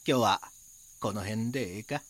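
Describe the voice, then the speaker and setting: An actor playing a rough, informal and grudging local man with a Kansai accent in an audio drama. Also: fairly low pitch, normal speed, fairly bright tone, no room sound. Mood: backing off. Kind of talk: Japanese audio drama dialogue.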